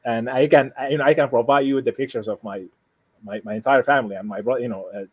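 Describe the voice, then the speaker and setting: Man, native Arabic speaker, Sudanese accent. Medium-pitched, conversational, halting delivery with repeated rephrasing. Dry recording. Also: steady tone, husky, no room sound, highs cut off. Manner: halting, repeats and rephrases, frequent "you know". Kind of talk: casual conversation, explaining personal plans.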